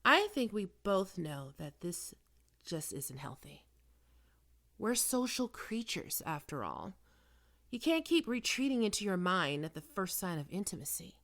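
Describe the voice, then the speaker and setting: A woman playing a probing, smoothly manipulative psychiatrist in an ASMR roleplay, speaking in measured, deliberate phrases. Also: mid-range voice, big pitch swings, fairly bright tone. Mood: probing, insinuating. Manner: measured clinical questioning with deliberate pauses. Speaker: voice actor performing a roleplay.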